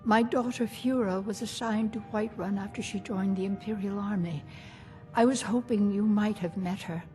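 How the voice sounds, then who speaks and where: A woman as a polite, motherly herbalist, soft music. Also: mid-range voice, normal speed, close to an everyday voice. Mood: worried. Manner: courteous, gentle, hopeful. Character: polite, worried motherly herb shop keeper.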